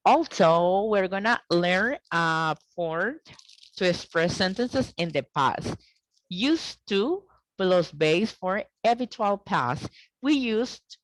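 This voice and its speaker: A woman teaching an English grammar lesson over a video call, explaining slowly and checking in with "okay?" often. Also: fairly low pitch, dry recording, poor audio. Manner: slow, deliberate explaining with frequent "okay?" checks.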